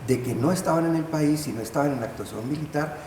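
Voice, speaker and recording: An older man, a professor and panel guest, giving formal political analysis in halting, deliberate phrases. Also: a little high, a little mellow. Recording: echoey, noisy room sound.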